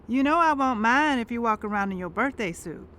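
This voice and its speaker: A woman's voice: on the high side, lively intonation, a little fast, fairly bright tone. A woman with an American accent playing a world-weary, defensive touring performer in an audio drama, speaking in a folksy, dismissive way. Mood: relaxed, playful. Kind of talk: naturalistic audio drama acting.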